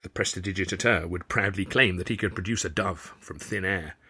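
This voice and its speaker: Husky low-voiced male storyteller. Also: brisk, pushed and bright. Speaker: author and narrator. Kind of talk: scripted audiobook narration.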